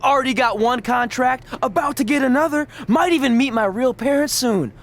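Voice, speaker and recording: A man's voice: very high voice, normal speed. An actor playing a self-assured, goofy young male wrestler in a game cutscene, playful and earnest. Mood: hopeful. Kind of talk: video game cutscene acting.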